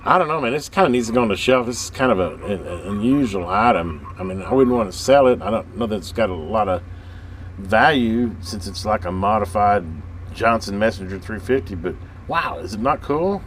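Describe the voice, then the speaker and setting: A man with a fairly low voice and an American accent talks like a hobbyist showing off gear on video. He rambles in a casual, folksy way, working out his thoughts as he goes. There is some background noise around him. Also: animated, a little fast. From United States. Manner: folksy, rambling, thinking aloud. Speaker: radio hobbyist presenting on his channel. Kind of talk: casual hands-on video chat. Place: workbench with radio gear.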